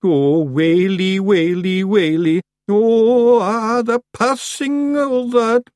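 A dim, slow little Scottish warrior. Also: male voice, on the high side, animated, no echo, clean studio sound, pitched above the performer's own voice. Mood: wailing. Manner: slow, naive, eager. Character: dim, earnest, admiring little male Scottish fairy warrior. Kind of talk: character voice in audiobook reading.

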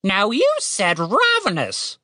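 A woman voicing an indignant, sarcastic male giant raven in an audiobook, with screeching, drawn-out delivery. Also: mid-range voice, huge pitch swings, sharp tone, no echo, studio quality, pitched above the performer's own voice, full character voice. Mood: mocking.

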